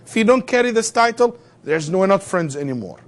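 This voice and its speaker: A man teaching a theology class, lecturing in an animated way, firing rhetorical questions at students and adding joking asides. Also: high voice, a little fast.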